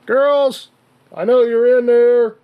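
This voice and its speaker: A man voicing a loud, official government agent calling out orders in a radio drama. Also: extremely high pitch, steady tone, slow, clear, resonant tone, muffled recording, voice pushed up. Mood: commanding.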